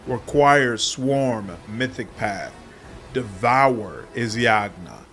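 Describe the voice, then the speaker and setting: A man reading scripted dark fantasy game narration as the narrator, with measured, dramatic phrasing. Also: medium pitch, expressive, soft background music.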